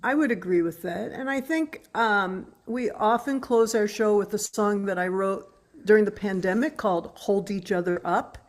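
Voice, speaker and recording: An older woman with an American accent talks as a musician being interviewed. Her voice sits at a middle pitch, and her delivery is conversational and informal. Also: normal speed. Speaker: musician, guest. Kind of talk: casual interview talk.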